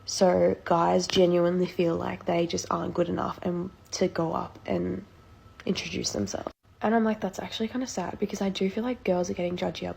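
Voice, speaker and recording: A woman's voice: fairly low pitch, creaky, a little mellow, a little hiss or hum. A woman chatting casually to a phone camera, with many likes.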